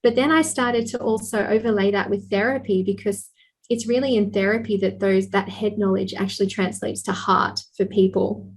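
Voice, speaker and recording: Husky woman explaining earnestly. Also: medium pitch, steady tone, moderate pace, poor audio. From Australia. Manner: earnest, explanatory, laughs lightly. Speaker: sex therapist guest. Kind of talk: podcast interview.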